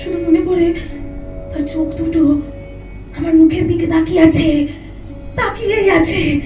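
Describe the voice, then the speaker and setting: An actress playing a sorrowful, tender and haunted young sister-in-law in an audio drama, speaking slowly with lingering emotion. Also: female voice, very high voice, fairly even intonation, mellow. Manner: slow, emotive, lingering, repeats phrases. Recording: roomy echo, distant mic, music underneath.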